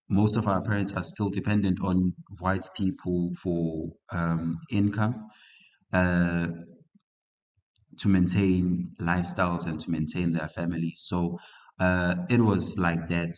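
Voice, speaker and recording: Deep-voiced young man tutoring, lo-fi. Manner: halting, many "uh" fillers and restarts. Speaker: history tutor. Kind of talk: casual study lesson for learners. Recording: muffled lo-fi recording.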